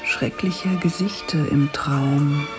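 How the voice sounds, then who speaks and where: An actress playing a mysterious, seductive apparition of an opera singer in a radio drama, speaking in a hushed, probing way. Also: female voice, deep voice, fairly even intonation, takes it slowly, over music. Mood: enigmatic. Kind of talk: intimate radio drama acting.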